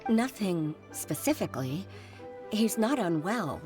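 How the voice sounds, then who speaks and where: A woman's voice: medium pitch, sing-song, a little slow, over music. An actress playing an earnest, polite young woman official in a video game, reflective and formal in manner. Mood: troubled, wistful.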